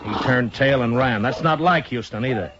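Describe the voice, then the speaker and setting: An American actor playing a tough, terse marshal of the Old West in a 1950s radio western, speaking with deliberate command. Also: male voice, medium pitch, natural voice. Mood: thoughtful. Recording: muffled, noisy vintage radio recording.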